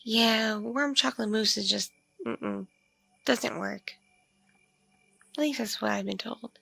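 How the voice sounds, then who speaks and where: A woman playing a mature, flirtatious, spacey female vampire in an ASMR roleplay, speaking softly and hesitantly, as if in a one-sided intimate conversation. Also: a little high, gravelly, slightly rough, no room sound, heavily acted. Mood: friendly, chatty. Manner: hesitant, murmuring, lingering pauses with ums and mms. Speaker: roleplay voice performer.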